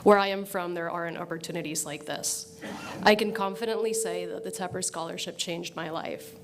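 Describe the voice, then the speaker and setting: A woman's voice: on the low side, steady tone. A woman in her twenties from Puerto Rico, giving an earnest, thankful prepared speech at a podium. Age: 20s. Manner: earnest, thankful, measured delivery. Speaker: scholarship graduate giving a speech. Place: event hall at a podium.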